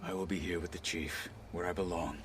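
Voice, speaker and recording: An actor playing a grim, loyal warrior marshal in a video game, laying out plans in a matter-of-fact way. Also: male voice, low voice, level tone, a little fast, background noise, close to an everyday voice. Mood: grim tactical. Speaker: game character. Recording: game audio, distant voice, music behind.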